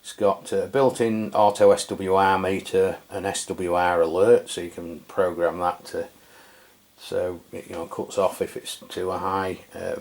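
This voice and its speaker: A man's voice: deep voice, a little mellow. A middle-aged man giving a casual, chatty walkthrough of a radio, with frequent fillers and self-corrections. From England. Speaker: radio equipment reviewer. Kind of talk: casual product review walkthrough.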